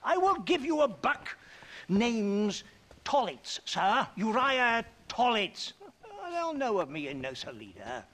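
An actor playing a dissolute, jovial middle-aged English trader in a Western video game, stammering and pompous, with a British accent. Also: male voice, very high voice, wildly swooping pitch, takes it slowly, a bit bright, slight background noise, full character voice. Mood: blustering, persuasive. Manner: blustering, stammering, pompous, tags phrases with "what?". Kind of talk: video game cutscene acting.

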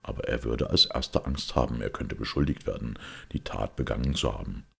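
A man playing a calm, shrewd priest detective who explains quietly and with compassion, in a measured audiobook reading. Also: a bass voice, creaky, slightly rough, heavily acted. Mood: explaining.

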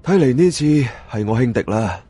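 A man's voice: medium pitch, expressive, a little fast, dark tone, husky, soft background music. A man with a Cantonese accent narrating a scripted first-person story in a dramatic style. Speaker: audiobook narrator.

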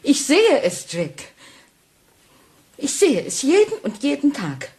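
An actress playing a timid, submissive Victorian wife in a German radio play, hesitant and pleading. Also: female voice, high voice, wildly swooping pitch, slow, exaggerated voice. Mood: hurt, pleading. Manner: hesitant, pleading, often hushed.

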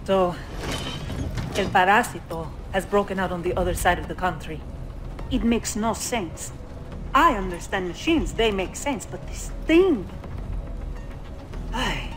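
A woman's voice: medium pitch, animated, a little slow, pauses often, close to an everyday voice. A woman with a Spanish accent playing an earnest, science-minded engineer in a video game. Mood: uneasy, reflective. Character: earnest young female engineer, curious and passionate about science. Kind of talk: cinematic game acting. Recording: music behind the voice.

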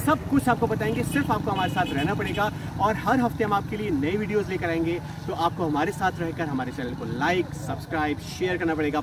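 A man's voice: sits high, very noisy. A man in his thirties hosting a travel vlog, upbeat on camera and formal in his narration. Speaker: host. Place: outdoors by a ruined site.

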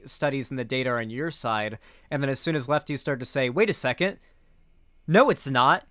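A young man giving off-the-cuff political reaction commentary as a streamer, halting and repeating himself, sardonic and sweary. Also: medium pitch, fairly bright tone, smooth, dry recording, clean studio sound. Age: young adult. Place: indoor streaming room.

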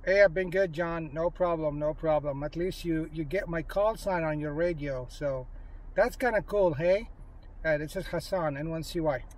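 A man chatting casually and politely as an amateur radio operator, speaking in a halting, informal way. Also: a little high. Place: inside a parked vehicle. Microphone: handheld smartphone.

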